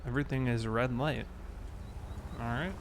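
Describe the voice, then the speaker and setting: American male game streamer, relaxed and conversational, chatting casually over faint vehicle noise. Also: mid-range voice, normal speed. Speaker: game livestream host. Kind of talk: casual livestream chat while playing.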